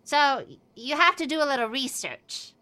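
A woman's voice: high voice, expressive, moderate pace, pushed and bright. A woman playing a scatterbrained old keeper of a magic item, matter-of-fact and rambling, in a comedy-horror radio play.

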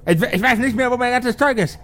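A man's voice: extremely high pitch, fast, a bit bright, voice pushed up. A man voicing a grumpy, flustered old magician in a tabletop game, complaining and repeating himself in outrage. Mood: flustered, lamenting.

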